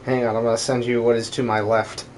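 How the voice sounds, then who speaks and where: Teasing man chatting over clear background noise. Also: medium pitch, flat delivery, fast talker. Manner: teasing, goofy. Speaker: streamer and amateur voice dubber. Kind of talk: casual joking livestream chat.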